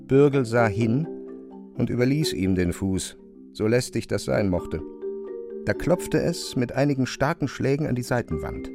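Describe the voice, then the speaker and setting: A man reading scripted literary narration for a radio drama in a measured, precise way. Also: medium pitch.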